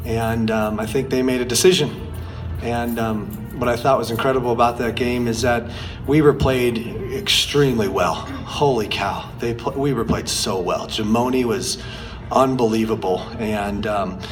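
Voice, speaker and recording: A hoarse middle-aged man speaks at an ordinary pitch into a table microphone at a press conference, faint music drifting behind him. He answers earnestly, stopping and restarting his sentences as he goes. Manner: earnest, halting, many fillers and restarts. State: hoarse from a cold. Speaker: head basketball coach. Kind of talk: post-game press conference.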